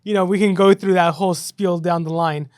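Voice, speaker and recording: A man's voice: high-pitched, fairly even intonation, brisk, a little mellow, clear, resonant tone, no room sound, clean studio sound. A man hosting a casual podcast, upbeat and loose, filling his talk with "you know" and "right". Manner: upbeat, filler-heavy, says "you know" and "right" a lot. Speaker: podcast host.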